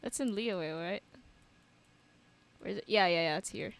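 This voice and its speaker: A woman's voice: mid-range voice, normal speed, creaky. A woman streamer giving slangy, casual commentary on a game and laughing often. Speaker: gaming streamer. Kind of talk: casual let's-play commentary. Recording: over loud game music.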